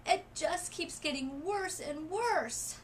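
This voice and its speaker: Woman as anxious mother, storybook, high pitch, drawn-out, fretful. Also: a little hiss or hum. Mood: distraught. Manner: worried, drawn-out wailing.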